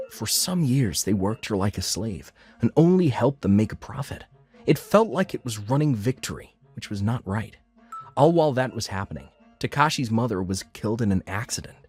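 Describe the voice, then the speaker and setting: A man's voice: lowish voice, darkish tone, husky. A man acting as an earnest diner owner, narrating his life story in a scripted manga dub. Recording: background music under the voice.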